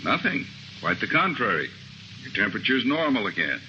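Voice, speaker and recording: Genial ship doctor, old radio drama, muffled and hissy, lowish voice. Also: male voice, big pitch swings, pushed and bright, heavily acted. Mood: brisk. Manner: brisk, genial, bedside-manner tone. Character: brisk, cheerful male ship's doctor. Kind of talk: 1950s radio drama acting.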